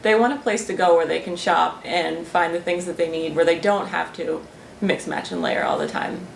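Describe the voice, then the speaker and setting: A young woman with a low, gravelly voice talks through her research, pausing on fillers and restarting her sentences. She sounds roomy and a bit distant from the mic. A faint ambience sits behind her. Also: steady tone, slight background noise. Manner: thoughtful, with frequent fillers and restarts. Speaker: student researcher. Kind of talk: interview talk.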